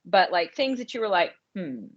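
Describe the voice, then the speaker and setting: A woman's voice: lowish voice, big pitch swings, moderate pace. A woman co-hosting a podcast, talking through a film casually and conversationally, rephrasing as she goes. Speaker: podcast co-host. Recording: slightly dull, muffled sound.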